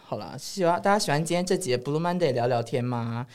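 A woman's voice: low-pitched, lively intonation, fast talker, a little mellow. A woman with a Taiwanese Mandarin accent co-hosting a casual podcast chat in a playful, giggly, teasing manner. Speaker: podcast co-host.

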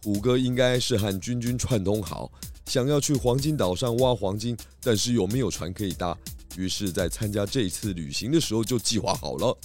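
A man playing a confident, clever detective in a children's radio drama, explaining his case deliberately. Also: on the low side, darkish tone, faint music behind, natural voice. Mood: accusing. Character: confident, clever male detective unmasking culprits.

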